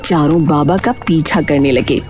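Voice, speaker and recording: A woman's voice: low voice, a little slow, a little mellow. A woman reading a scripted moral story as its narrator. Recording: background music under the voice.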